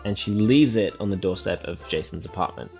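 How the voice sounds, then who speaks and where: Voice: low voice, brisk, vocal fry, darkish tone, soft background music. A film review co-host casually recapping a movie scene by scene in a wry, joking way.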